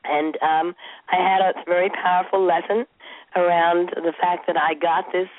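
A woman with a croaky, somewhat low voice speaks reflectively as an interview guest. She often stops and restarts her sentences. The line sounds thin, dry and phone-like. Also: level tone, moderate pace, no room sound. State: croaky voice from a sore throat. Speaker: guest author. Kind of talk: interview talk.

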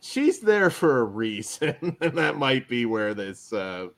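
A man's voice: medium pitch, sing-song, slow pace, creaky, fairly bright tone. A man co-hosting a podcast, telling anecdotes in casual wrestling review chat and often chuckling as he talks. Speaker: podcast host.

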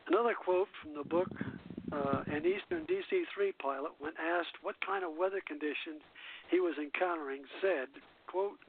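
An older man hosting a radio show, reading quotes and chatting, sometimes halting as he reads aloud. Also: on the high side, noisy background. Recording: muffled phone-like radio line.

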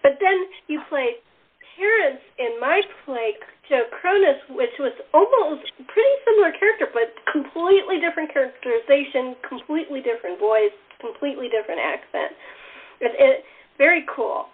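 A woman's voice: sits high, lively intonation, normal speed. A woman hosting a casual cast chat in an effusive, encouraging manner. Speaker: host and radio playwright in a cast interview. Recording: tinny phone-like line, faint noise and clicks.

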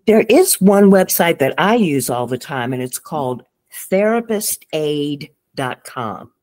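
A man who is a licensed therapist, speaking as a guest in a gentle, supportive and reassuring way. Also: a little high, very animated, a little slow. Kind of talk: conversational interview.

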